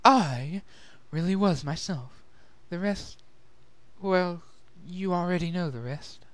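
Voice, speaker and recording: A man voicing a refined young female pony who tells of a sorrowful past in a soft, measured, earnest way, in a dramatic story reading. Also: sits high, no room sound, voice pushed up. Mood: somber, earnest. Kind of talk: dramatic fan-fiction reading.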